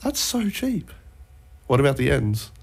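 A man's voice: mid-range voice, huge pitch swings, a little slow, vocal fry, husky. A young man with a cold, sounding nasal, chatting casually as a podcast co-host. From Australia. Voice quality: laid-back, slangy, enthusiastic about gear. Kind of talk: casual chat.

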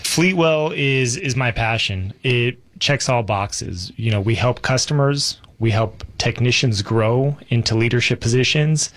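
American man, mid-pitched interview guest. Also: a little slow, hardly any pauses, fairly bright tone. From United States, moved from Israel at three. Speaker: radio guest, business owner. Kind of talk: conversational interview answers.

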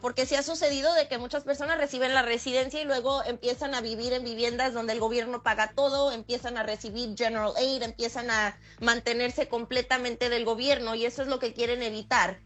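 Mexican lady lawyer on the radio, kinda high voice, explains stuff plainly. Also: steady tone, a little fast, few pauses. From Michoacán, Mexico. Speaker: lawyer, expert guest. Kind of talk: explanatory interview talk.

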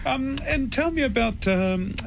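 Animated, playful male interview host. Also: extremely high pitch, gravelly, a little hiss or hum. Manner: animated, playful, quick reactions. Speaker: TV show host. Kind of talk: lively interview hosting.

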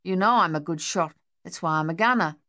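A woman's voice: fairly low pitch, brisk, pushed and bright. A woman voicing a desperate, shell-shocked young male Australian soldier in an audiobook, talking in a shaky, pleading, rambling way with an Australian accent.

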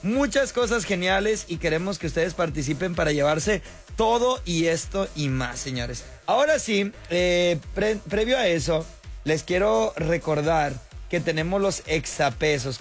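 A man with a Mexican accent hosting a radio show, chatting casually with slang and quick asides. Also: sits high, expressive, soft background music. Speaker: radio host. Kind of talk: casual radio call-in chat. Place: radio studio.

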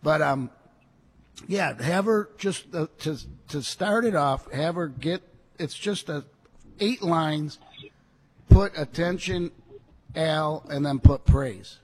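A hoarse man selling cars on a radio show, talking in a salesy, reassuring way. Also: on the high side, slow, pauses often, darkish tone. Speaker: car dealer guest.

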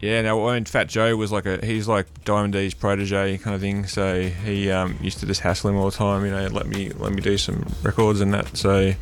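Gravelly low-voiced man rambling about records. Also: completely flat delivery, brisk, few pauses, soft background music. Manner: rambling, hesitant, lots of fillers and restarts, trails off quietly. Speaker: radio guest, record collector. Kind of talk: casual radio chat.

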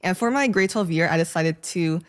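A young woman just out of high school, speaking softly with light fillers as a radio interview guest. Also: lively intonation, a little fast. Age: young adult. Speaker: scholarship-winning high school graduate.

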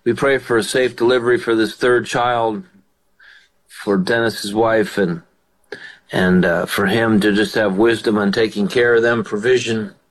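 A man's voice: on the low side, fairly even intonation, a little slow, low-quality recording. An older man, a pastor hosting a call-in radio show, who affirms callers and prays fervently. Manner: warm affirmations, fervent praying.

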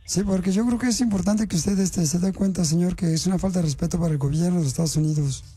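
A man's voice: sits high, quick pace, mellow, breathy edge, faint music behind. A male radio host, posing as a government official in a prank phone call, teasing and mock-stern.

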